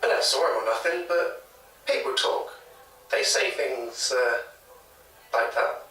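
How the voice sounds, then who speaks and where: A man's voice: medium pitch, expressive, bright, forceful tone, far from the mic, a little hiss or hum. Hesitant, gossipy British local man, echoey tape. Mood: gossipy, guarded. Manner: halting, hedging, chatty. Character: hesitant, gossipy local man retelling a secondhand ghost story. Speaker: interviewee, former hotel worker. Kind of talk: naturalistic audio drama interview. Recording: echoey taped interview, off mic.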